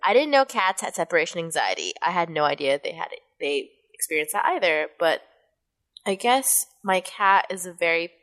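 Podcast host, woman, youthful kid-like voice but pitched a bit low, first language Mandarin, rambly and chatty. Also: big pitch swings, normal speed, a bit bright. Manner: chatty, rambling, laughs often. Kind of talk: casual podcast chat.

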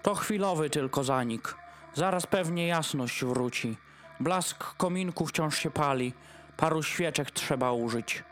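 A woman voices a harried male dog in a radio play. He fusses over his household in theatrical rhyme at a slow pace, with faint music behind him. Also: deep voice. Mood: concerned, leading. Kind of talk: rhymed audio drama acting.

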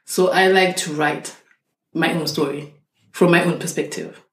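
A woman's voice: sits low, fairly even intonation, measured pace. A young woman from Zimbabwe, a doctor, talking thoughtfully and at length as an interview guest. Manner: thoughtful, explains at length with restarts. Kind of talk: casual interview conversation. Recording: echoey room.

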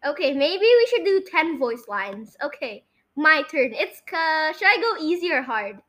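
Young female podcast host, high bright voice, casual game-show chatter. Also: sing-song, a little slow. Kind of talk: casual chat and guessing game.

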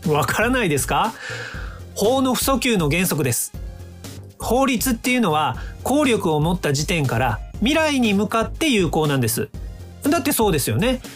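An actor in a radio drama playing a calm, smug inventor from the future, polite and lecturing in a self-assured way. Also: male voice, sits high, moderate pace, soft background music. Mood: lecturing, triumphant.

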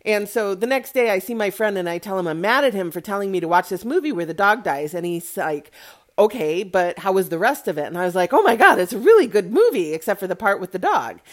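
Woman, mid-pitched voice, podcast host, casual movie commentary, candid, opinionated, chatty asides. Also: sing-song, quick pace.

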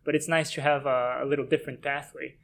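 A man's voice: mid-range voice, level tone, fast talker, gravelly, a bit bright. A young man from Brazil hosting a podcast and interviewing in a casual, conversational way. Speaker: podcast host.

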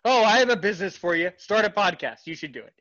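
A man hosting a podcast interview in casual chat, halting and repeating his words, with a sarcastic streak. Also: high voice, lively intonation, fairly bright tone, dull audio. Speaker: podcast host.

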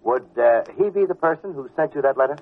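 A man's voice: medium pitch, lively intonation, fast, husky. An American actor playing a wry, easygoing private detective in an old-time radio drama, casual and a little hesitant as he talks and narrates. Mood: uneasy, exasperated. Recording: muffled vintage radio recording with music.

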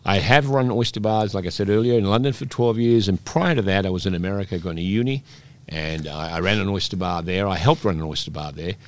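A man in his sixties, raised in Peru, telling rambling stories with many restarts as a guest in a relaxed interview. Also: sits low, fairly quick. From Scotland, raised in Peru. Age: 60s. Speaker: guest, oyster bar operator.

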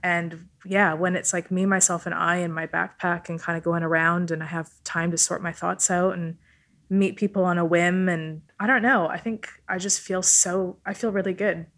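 Low-voiced woman chatting informally, native Italian speaker. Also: fairly even intonation, moderate pace, a bit bright. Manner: informal, thinking aloud. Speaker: podcast guest. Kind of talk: casual chat.

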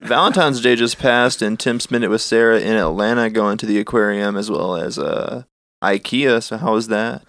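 A man's voice: fairly low pitch, moderate pace, hardly any pauses, a bit bright, dry recording, studio quality. A young man, co-hosting a podcast in teasing, filler-heavy casual chat. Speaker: podcast co-host.

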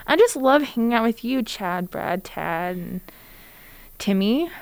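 A woman's voice: a little high, lively intonation, measured pace, no room sound. A young woman co-hosting a podcast, chatting casually in a blunt, sarcastic way with lots of "like". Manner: blunt, sarcastic, heavy use of "like". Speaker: podcast co-host.

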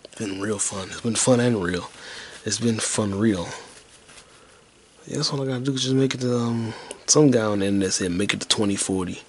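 A man in his twenties rambling alone on his podcast in a detached, low-energy, half-whispered way. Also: brisk, slight background noise. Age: 20s. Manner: low-key, detached, mumbling. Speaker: podcast host. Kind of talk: rambling solo podcast monologue.

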